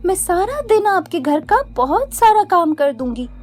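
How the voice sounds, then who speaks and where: A woman's voice: very high voice, sing-song, a little slow, darkish tone, pitched above the performer's own voice, exaggerated voice. A woman voicing a sweet young village girl in a cartoon story, coy, polite and pleading. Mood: humble, pleading. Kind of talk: Hindi cartoon story acting.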